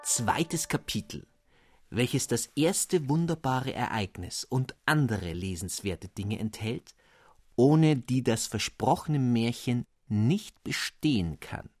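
A man narrating a fairy tale in a scripted, expressive storytelling reading. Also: medium pitch, slow pace, dry recording, studio quality.

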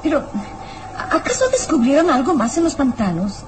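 A woman's voice: high-pitched, sing-song, normal speed, very noisy. A woman playing an anxious, restless young noblewoman in an old radio serial, with melodramatic worry in her delivery. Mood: alarmed.

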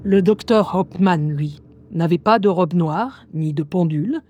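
Casting: actress as ironic young diarist who hears voices, radio monologue, unhurried delivery, soft mellow tone, music underneath. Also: female voice, on the low side, close to an everyday voice. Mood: wry reminiscing. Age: young adult. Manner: ironic, confiding, conversational asides. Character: wry young woman reading her diary, who hears voices. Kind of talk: radio drama first-person monologue.

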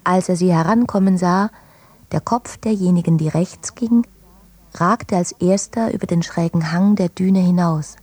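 A woman reading literary prose for a radio play in a measured way, phrase by phrase. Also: fairly low pitch, a little mellow, husky, dry recording. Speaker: reader.

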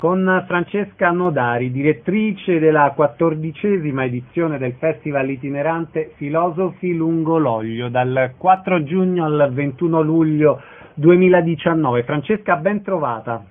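A man's voice: on the high side, unhurried, narrow, tinny audio. A man hosting a radio show, giving formal announcements and putting short questions to his guests. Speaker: radio host, interviewer. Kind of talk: scripted announcements and interview questions.

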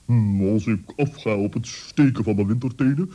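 A man's voice: lowish voice, moderate pace, mellow, lightly acted. A man voicing a dignified, easily affronted owl in a children's radio play, solemn and pedantic.

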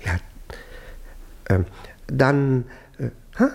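An actor playing a flustered, suspicious husband in a German radio play, stammering politely and repeating himself. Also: male voice, medium pitch, drawn-out words, creaky, a little mellow, husky, heavily acted. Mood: embarrassed, polite.

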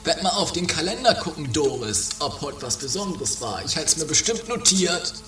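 A man's voice: on the high side, sing-song, normal speed, fairly bright tone. A man playing a forgetful, meek, henpecked husband, hesitant and affected, in an amateur comedy radio play. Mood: musing. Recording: roomy distant 1980s home recording, music behind.

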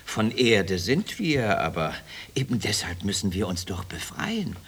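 An actor playing an idealistic, dreamy schoolteacher in a radio drama, speaking in a rapturous, oratorical manner. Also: male voice, on the low side, very animated, measured pace, fairly bright tone, breathy edge, full character voice. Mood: rapturous, fervent. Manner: rapturous, oratorical, partly whispered. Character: idealistic dreamy schoolteacher with secret balloon plans.

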